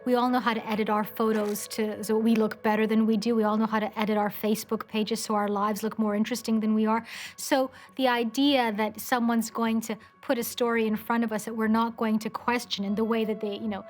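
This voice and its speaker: Flat-toned woman commenting in an interview. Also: on the high side, fairly quick. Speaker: documentary commentator. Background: music.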